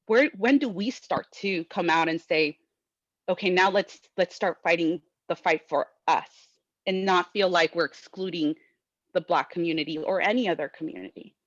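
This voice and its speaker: A woman's voice: fairly low pitch, normal speed, no echo. Hesitant woman asking over muffled call. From Guatemala. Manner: hesitant, searching, many fillers. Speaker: audience member asking a question. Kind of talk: conversational question at a talk.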